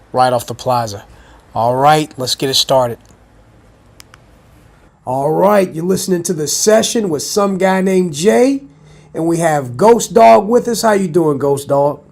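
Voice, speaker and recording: A man hosting a podcast interview, a little hoarse from a cold, with a scratchy voice. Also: mid-range voice, a little mellow. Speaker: podcast host.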